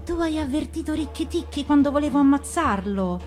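Storybook snake villainess played by a woman: hissing, vengeful, drawn-out menace, music low underneath. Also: expressive, darkish tone. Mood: menacing. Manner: slow, threatening.

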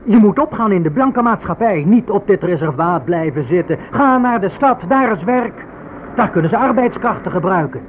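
A man's voice: high-pitched, animated, moderate pace. A man in a radio drama playing an insistent, rhetorical speaker with emphatic, declamatory delivery. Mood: urging. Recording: noisy, phone-like old radio recording.

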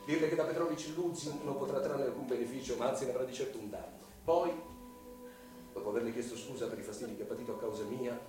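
An actor playing a scheming, lecherous middle-aged landowner in a radio drama, speaking in a theatrical, insinuating way with pauses and hushed words. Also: male voice, mid-range voice, natural voice. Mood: sly, insistent. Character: scheming, lecherous widowed male landowner, insinuating and self-justifying. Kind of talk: Italian radio drama acting. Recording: very echoey, distant voice over music.

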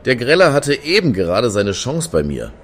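A man in a radio play acting an unimpressed, gruff Berlin night owl with a dry, dismissive manner. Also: mid-range voice, huge pitch swings, moderate pace, a bit bright.

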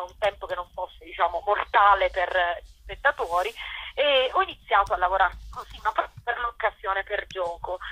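A woman's voice: mid-range voice, fairly bright tone, rough recording. A woman explaining her work spontaneously in an interview, in a discursive, self-correcting way. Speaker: theatre director, interview guest.

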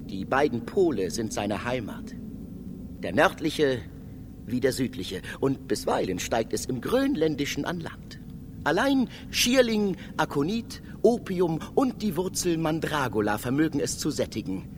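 An actor playing a mysterious, learned philosopher who lectures in a declamatory way in a radio drama. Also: male voice, fairly high pitch, sing-song, unhurried, background noise. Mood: didactic. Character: mysterious philosopher double, male, learned and oracular.

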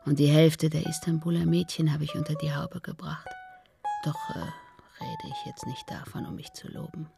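An actress playing a gossipy, self-satisfied matchmaker in a German radio play, theatrical and drawn out, often dropping into whispers. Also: female voice, deep voice, fairly even intonation, moderate pace, creaky. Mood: boastful, sly. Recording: studio radio play over plucked-string music.

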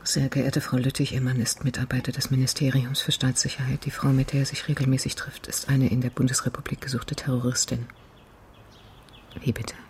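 Breathy, anxious wife. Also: female voice, a bass voice, flat delivery, brisk, a little hiss or hum. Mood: hesitant. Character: anxious, wounded wife, adult woman, stubborn client. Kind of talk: naturalistic modern radio crime drama acting.

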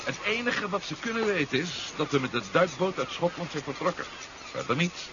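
A man acting a decisive, dry expedition leader with a brisk, commanding manner in a 1970s radio drama. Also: on the high side, expressive, close to an everyday voice. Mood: calm, assured. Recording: muffled, noisy 1970s radio recording.

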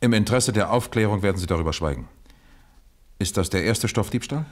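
Low-voiced police captain in a radio crime play, businesslike, firing short probing questions. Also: male voice, animated, fairly quick. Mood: probing, firm. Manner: interrogating, clipped questions. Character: probing, businesslike male East German police captain leading an inquiry. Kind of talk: German radio crime drama acting.

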